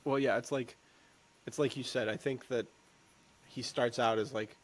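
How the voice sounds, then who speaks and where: A man's voice: mid-range voice, steady tone, normal speed. A man co-hosting a podcast, talking in a casual, rambling, informal way. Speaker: podcast co-host. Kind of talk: casual discussion.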